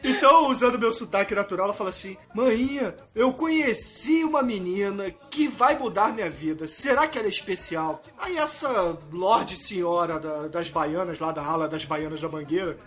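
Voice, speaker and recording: Man, high voice, bright tone, animated storyteller, casual joking film chat, faint music behind. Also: normal speed, few pauses. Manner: animated storyteller, retells scenes with acted quotes. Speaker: podcast co-host.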